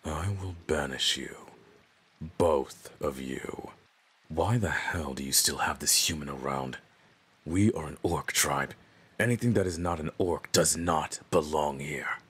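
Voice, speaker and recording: A man's voice: extremely low pitch, unhurried, a bit bright, husky, full character voice. A man playing an arrogant, domineering orc who would be chief, speaking with pompous menace in a roleplay audio drama. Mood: haughty, threatening.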